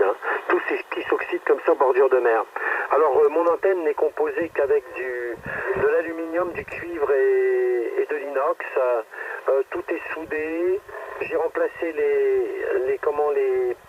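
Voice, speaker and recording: A talkative man chatting informally about technical gear as an amateur radio operator, heard through a radio receiver. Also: medium pitch. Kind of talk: casual technical chat over radio. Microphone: cheap radio microphone heard through transceiver speaker. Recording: muffled noisy radio reception, distant.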